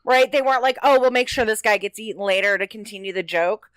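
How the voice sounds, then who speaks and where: A woman co-hosting a casual podcast chat about television, talking with gushing, animated enthusiasm. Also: mid-range voice, fast, no echo. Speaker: podcast co-host. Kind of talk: casual TV episode discussion chat.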